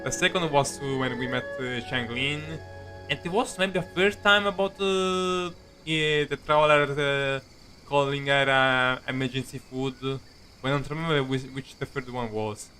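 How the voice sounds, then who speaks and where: A man streaming a video game with casual, rambling commentary, halting and jokey, often repeating his words. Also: medium pitch. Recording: game music behind the voice. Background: game music.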